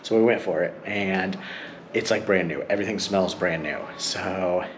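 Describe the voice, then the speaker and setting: A man's voice: sits low, normal speed. A man talking casually as he films a family travel vlog. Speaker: travel vlogger, father. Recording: noisy handheld vlog, street and ambient noise.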